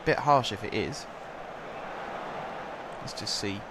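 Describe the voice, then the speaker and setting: A man's voice: lowish voice, animated, takes it slowly, noisy background. A young man with a sore throat giving rambling, chatty commentary over a football management game. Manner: chatty, rambling, filler-heavy. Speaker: gaming commentator.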